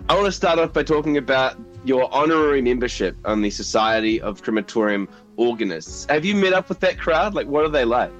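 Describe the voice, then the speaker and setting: A man's voice: mid-range voice, expressive, a little fast, hardly any pauses. Young New male host, eager and chatty, slightly dull sound, faint music. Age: young adult. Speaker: radio podcast host. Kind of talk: casual radio interview.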